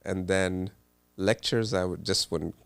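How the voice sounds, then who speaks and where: Very low gravelly man, halting speech. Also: steady tone, no echo. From Pakistan. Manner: hesitant, many ums and uhs. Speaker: cardiologist guest. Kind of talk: relaxed interview answers.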